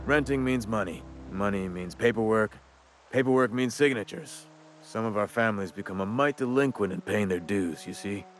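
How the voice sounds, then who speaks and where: A man's voice: lowish voice, moderate pace, faint music behind, close to an everyday voice. Folksy, wry gravedigger Silas, played by a man. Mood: sly, businesslike. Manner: colloquial drawl, wry and talkative. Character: chatty, folksy male cemetery worker, shrewd and morbidly cheerful. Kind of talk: video game dialogue acting.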